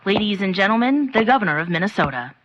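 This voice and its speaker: A woman's voice: mid-range voice, sing-song, fast talker, fairly bright tone, muffled recording. A woman playing an earnest, formal field reporter who describes every detail solemnly in a comedic 1930s radio drama. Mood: solemnly descriptive.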